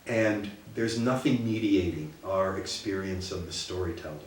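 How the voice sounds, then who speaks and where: A man giving a reflective interview in a halting, thoughtful way. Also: deep voice, faint music behind. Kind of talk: reflective documentary interview talk. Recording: very echoey, distant from mic.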